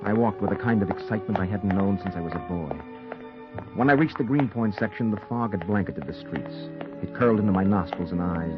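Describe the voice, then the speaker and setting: A man's voice: low-pitched, lightly acted. An actor playing a driven newspaper reporter who narrates his own noir story with intense, dramatic delivery in a 1940s radio play. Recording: muffled 1940s radio recording with music.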